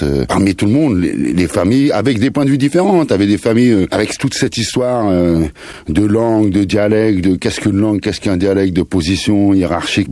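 50s guy, low dark voice, loose slangy chat, dry mic. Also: no echo. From France, Paris suburbs. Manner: rambling, slangy, mixes in Creole phrases. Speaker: musician guest. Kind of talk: casual interview chat.

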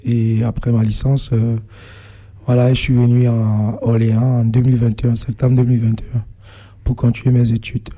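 A young man with a Cameroonian accent tells his own story as an interview guest. His voice is very soft-edged and dark, with little movement in pitch. He talks haltingly, filling the gaps as he searches for words. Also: flat delivery. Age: young adult. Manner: hesitant, halting delivery with frequent filled pauses. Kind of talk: personal account in an interview.

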